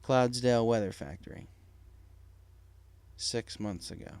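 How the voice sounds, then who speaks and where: A man's voice: medium pitch, a little slow, darkish tone, slight background noise. A man giving scripted narration of a dramatic story as its narrator.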